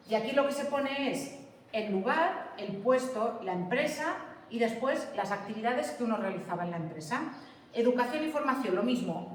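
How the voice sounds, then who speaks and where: A woman's voice: a little high, a little hiss or hum. Lady teacher explaining stuff to her class, keeps asking if they get it, sounds far off in a big echoey room. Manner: explanatory, checks with students often. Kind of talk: classroom lecture.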